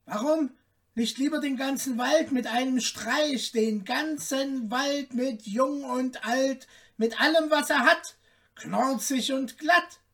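A man voicing a small, boastful, cheeky tailor in a fairy tale, with a high, light, cocky voice. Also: slow, a bit bright, recorded from a distance, heavily acted.

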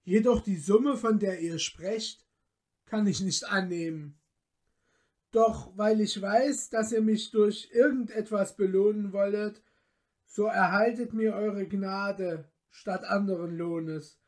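A woman's voice: mid-range voice, slow, darkish tone. A woman voicing an earnest, modest young craftsman from a storybook, with polite, formal, old-fashioned diction. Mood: courteous, firm.

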